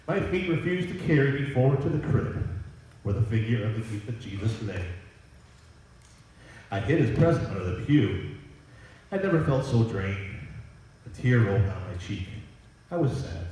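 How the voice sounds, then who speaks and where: A man reading a Christmas story aloud as Santa Claus, in a dramatic, deliberate storybook manner. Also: mid-range voice, darkish tone. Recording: echoey room, distant microphone.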